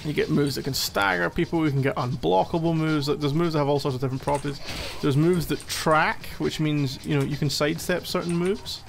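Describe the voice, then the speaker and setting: A man's voice: on the high side, fairly even intonation, a little fast, creaky, hardly any pauses, noisy background. A man playing a needy, playfully exasperated gamer boyfriend in a casual, intimate ASMR roleplay, teasing as he talks. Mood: explaining patiently. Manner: teasing, mock-exasperated, conversational.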